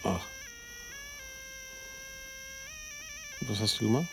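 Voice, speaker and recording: An actor playing a cool, cynical expatriate in a naturalistic radio drama, with a gravelly voice and a laconic, matter-of-fact manner. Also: male voice, on the low side, huge pitch swings, rapid-fire, over music, exaggerated voice. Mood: guarded, matter-of-fact. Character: cool, cynical male expatriate living in Tunisia. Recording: clear background noise of chirping crickets. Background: crickets, outdoor ambience.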